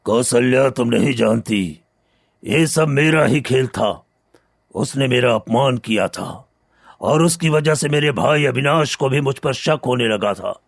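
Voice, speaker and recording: A man voicing a proud, vengeful moneylender villain in a cartoon story, with a deliberate, menacing manner. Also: medium pitch, creaky, no echo, clean studio sound. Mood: gloating, vengeful. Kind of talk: Hindi cartoon story acting.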